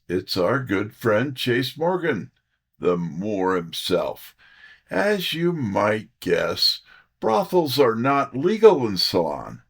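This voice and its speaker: A wisecracking private detective's sidekick, voiced by a man, tells his story in hard-boiled first person. His pitch swings widely while the delivery stays unhurried. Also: exaggerated voice. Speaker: detective's assistant and narrator.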